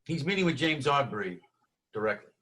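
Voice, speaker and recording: A man's voice: mid-range voice, sing-song, moderate pace, pushed and bright. An actor playing a confident, breezy male studio colleague in a screenplay table read.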